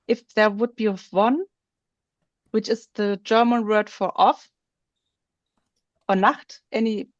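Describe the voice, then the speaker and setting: A woman presenting on a video call, slowly walking through a software feature while she shows her screen. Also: on the high side, no room sound, highs cut off. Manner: slow, explanatory, thinks aloud while showing her screen. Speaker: webinar presenter.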